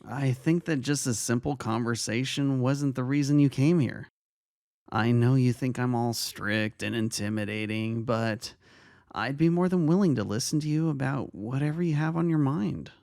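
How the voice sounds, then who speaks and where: A man playing a kind high school vice-principal in an audio story, speaking with earnest, measured reassurance. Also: creaky, darkish tone, dry recording, studio quality, lightly acted. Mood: gentle, reassuring. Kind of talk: dramatic fan fiction audio reading.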